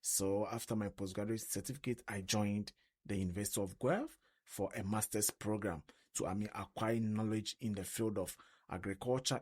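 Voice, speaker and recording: A man's voice: lowish voice, slightly rough. A young man with a Ghanaian accent, talking earnestly on a radio show and often restarting with "I mean". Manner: earnest, repeats words and uses many "I mean" fillers. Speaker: co-host. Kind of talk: casual radio interview.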